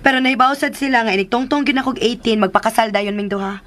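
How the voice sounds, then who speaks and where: A gentle teenage daughter played by a woman in a radio drama. She reasons patiently and dutifully in a fairly high voice. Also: fast talker, natural voice. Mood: calm.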